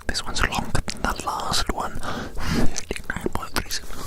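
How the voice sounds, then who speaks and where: A man whispering a breathy ASMR roleplay monologue in a rambling, repetitive, whimsically fussy way. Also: a little slow.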